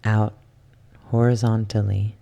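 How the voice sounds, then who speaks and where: A soft, hushed voice guiding a yoga nidra relaxation in ASMR style, soothing and unhurried, slipping at times into a whisper. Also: medium pitch, level tone, gravelly. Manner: soothing, unhurried, with long pauses. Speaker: guided relaxation instructor.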